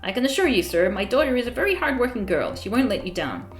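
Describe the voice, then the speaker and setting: A woman's voice: on the high side, big pitch swings, fast talker, roomy sound, soft background music, pitched above the performer's own voice. Woman reading as an earnest, anxious father. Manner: polite and eager to reassure. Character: earnest, anxious middle-aged father and employee.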